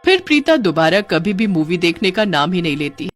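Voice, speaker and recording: A woman's voice: fairly low pitch, sing-song, a little fast. A woman narrating a scripted cartoon story. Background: background music.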